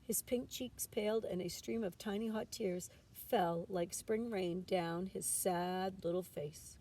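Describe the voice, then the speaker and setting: A woman's voice: mid-range voice, steady tone, takes it slowly, dark tone. A woman narrating a children's storybook aloud as a teacher would, with expression. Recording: distant mic with background noise.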